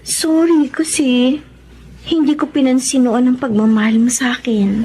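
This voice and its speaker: A woman's voice: high voice, fairly even intonation, unhurried, mellow, slightly rough, poor audio. An actress playing a remorseful, devout young woman in an emotional Tagalog radio drama, with a Filipino accent. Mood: tender, remorseful.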